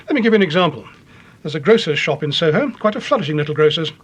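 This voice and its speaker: An actor playing an earnest, authoritative British police chief in a radio detective drama, grave and deliberate in manner. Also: male voice, a little high, lively intonation, breathy edge. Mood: explanatory, earnest.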